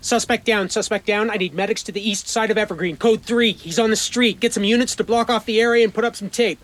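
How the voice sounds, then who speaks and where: A woman playing an urgent, appalled police partner in an audio drama, brisk and forceful as she gives orders. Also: medium pitch, fairly even intonation, a little hiss or hum, close to an everyday voice. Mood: brisk, commanding.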